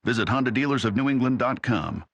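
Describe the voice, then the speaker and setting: A man's voice: mid-range voice, fast talker, creaky, no room sound. A man narrating a light-hearted scripted radio commercial. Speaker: commercial voice-over announcer.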